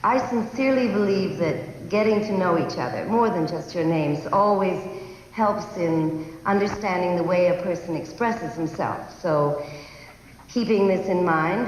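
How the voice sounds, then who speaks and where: An actress playing an earnest, slightly flustered college creative writing teacher. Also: female voice, fairly low pitch, normal speed, recorded from a distance, lightly acted. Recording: echoey classroom, faint noise.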